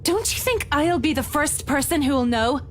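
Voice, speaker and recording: A woman playing a brisk, impatient young female companion in a horror visual novel, speaking curtly. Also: sits high, lively intonation, slight background noise.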